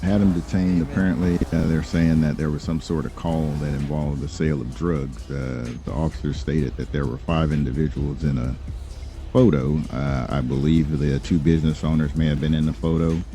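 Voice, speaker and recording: A man role-playing as a lawyer in a game, speaking in a measured, formal, halting way. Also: very deep voice, fairly even intonation, mellow, faint music behind. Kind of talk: improvised in-character role-play talk.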